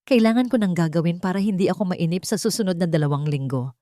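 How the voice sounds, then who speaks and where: A woman's voice: fairly low pitch, fast talker, dry recording, clean studio sound, lightly acted. Woman voicing a cold, commanding billionaire boss. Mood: cold, businesslike. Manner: commanding, businesslike, deadpan.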